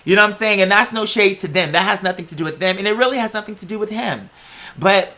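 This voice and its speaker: An American vlogger telling personal stories in a candid, chatty, slangy way, with drawn-out words for emphasis. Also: medium pitch, bright, forceful tone. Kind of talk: casual personal storytelling to viewers.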